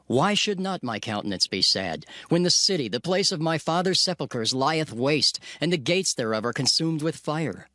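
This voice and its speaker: A man's voice: on the high side, animated, normal speed, few pauses, pushed and bright, dry recording, studio quality. A man narrating scripture in the first person, reading in an earnest, prayerful manner as part of a dramatized recording. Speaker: narrator voicing Nehemiah. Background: music underscore.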